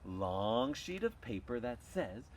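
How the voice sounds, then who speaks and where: A man voicing a kindly, welcoming queen in a children's story, speaking in a measured, gently questioning way. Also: medium pitch, sing-song, a little hiss or hum. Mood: measured, helpful. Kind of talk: animated children's oral storytelling.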